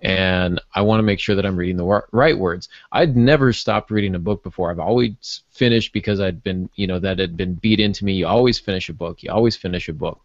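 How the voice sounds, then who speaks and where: A man with a fairly low voice talks casually on a podcast panel. He rambles a little, circling back and correcting himself. The sound is dry and somewhat dull, with little room in it. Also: brisk, hardly any pauses, no echo. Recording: muffled, dull lo-fi audio.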